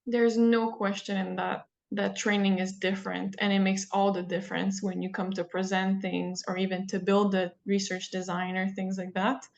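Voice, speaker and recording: A woman hosting a podcast interview, speaking in a measured way with some "like" fillers. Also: mid-range voice, level tone, gravelly, highs cut off. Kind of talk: conversational interview.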